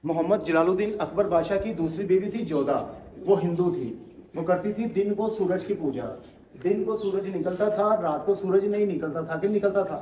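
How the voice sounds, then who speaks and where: A man's voice: high-pitched, normal speed, warm tone, far from the mic, a little hiss or hum. Man guiding a tour in echoey stone halls. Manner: explanatory, asks if listener follows. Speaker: tour guide. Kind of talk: guided tour explanation. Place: inside an old stone fort, in and out of doors.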